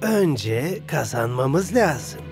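An actor playing a smug, showy young sports captain in a cartoon dub, speechifying with self-assurance. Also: male voice, medium pitch, sing-song, very slow, vocal fry, music in the background, exaggerated voice. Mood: smug, rallying.

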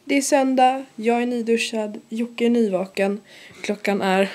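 A woman's voice: a little high, darkish tone. Chatty young woman vlogging. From Sweden. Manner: chatty, upbeat, addresses viewers directly. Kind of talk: casual vlog talk. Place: bedroom at home, indoors. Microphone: handheld camera at arm's length.

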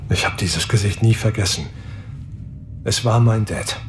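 A man's voice: lowish voice, a little slow, slight background noise, natural voice. A man playing an earnest young space hero who leads his crew, speaking steadily in an audio drama. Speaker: spaceship captain.

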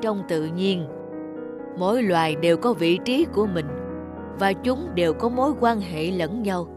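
Low-voiced, wise old tree spirit played by a woman. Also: expressive, music in the background. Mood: patient, explaining. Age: older. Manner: measured, didactic, gently instructive. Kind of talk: animated fable dialogue, teaching.

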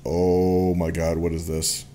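A young man giving casual, jokey let's play commentary and reading game dialogue aloud, with excitable reactions. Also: low voice, takes it slowly. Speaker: gaming streamer playing a horror visual novel.

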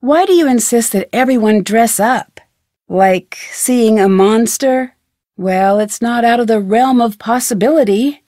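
A woman voices a young female sleuth from an adventure video game. Her voice sits fairly high and is recorded close and dry. She speaks politely and clearly, with an inquisitive turn to her scripted lines. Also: unhurried, dark tone, no room sound, close to an everyday voice. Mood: inquisitive, probing. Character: curious, polite young female amateur detective. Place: studio.

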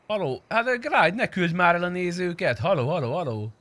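A man's voice: high voice, animated, fairly bright tone. A young adult man chatting casually on a close microphone while streaming a game. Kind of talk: casual livestream chat while gaming.

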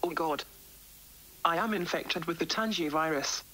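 A woman's voice: sits low, normal speed, pushed and bright. A woman playing a doctor who reads out her grim research report in an analog horror voice-over. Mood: distressed. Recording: hissy, faintly noisy tape-like audio.

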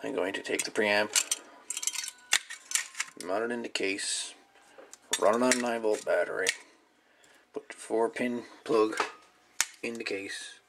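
A man giving a casual, folksy hands-on demonstration as a hobbyist, musing and pausing as he goes, recorded indoors at a workbench. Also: lowish voice, steady tone, vocal fry. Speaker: hobbyist radio video maker. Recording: light background noise, clicks of handled parts.

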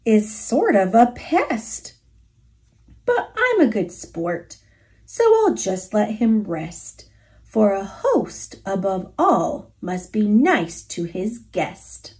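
Woman as a gentle, earnest male moose. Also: mid-range voice, sing-song, slow pace. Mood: resigned. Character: big-hearted, gentle, obliging male moose.

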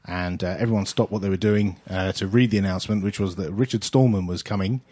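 A man's voice: deep voice, fast. A man hosting a podcast, chatting casually and rambling with many fillers. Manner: relaxed, rambling, hesitant with fillers. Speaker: podcast host.

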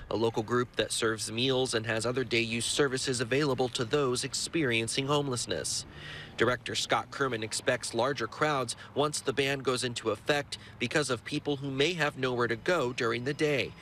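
This is a young American man reporting for television news. His voice sits at a middle pitch and follows a scripted report. Some noise runs behind him. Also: normal speed, sharp tone. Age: young adult. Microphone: handheld microphone.

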